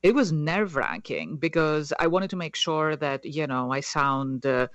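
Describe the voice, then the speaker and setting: A woman's voice: low-pitched, lively intonation, unhurried, a bit bright, no echo. Hesitant woman, dry sound. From Greece. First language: Greek. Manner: hesitant, many fillers and restarts. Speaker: guest. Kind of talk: casual interview conversation.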